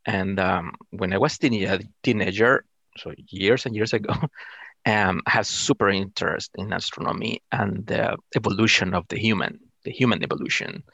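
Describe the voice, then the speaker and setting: A middle-aged man with a low, creaky voice and a Salvadoran Spanish accent. He answers interview questions casually in halting English, circling back over words as he goes. The sound is close and dry. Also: a bit bright, no echo. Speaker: interview guest, amateur astronomer.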